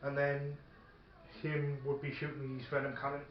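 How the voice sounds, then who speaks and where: A man chatting casually at a game table, talking through rules haltingly and thinking aloud. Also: medium pitch, monotone. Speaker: tabletop wargame player. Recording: muffled, echoey room with faint noise.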